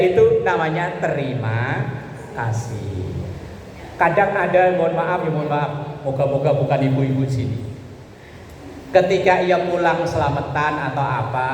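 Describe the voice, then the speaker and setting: A man with a Javanese Indonesian accent giving an informal Islamic sermon to a women's study group, conversational and colloquial, explaining things with repetition. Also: on the high side. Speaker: Islamic preacher. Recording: echoey reverberant hall, faint background noise.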